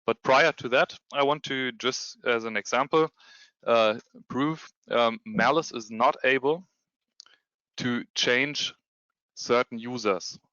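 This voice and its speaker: German-accented male presenter on a video call, halting and full of fillers, bright crisp tone. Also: medium pitch, no room sound, dull audio. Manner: hesitant, many ums and restarts. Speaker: security consultant presenting a demo. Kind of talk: webinar presentation with screen share.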